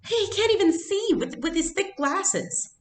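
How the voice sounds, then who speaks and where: A woman reader puts on an earnest young schoolgirl from a storybook. The girl sounds curious and anxious, her voice lifted higher and lively, in a roomy recording. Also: measured pace, a little hiss or hum, heavily acted. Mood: worried questioning.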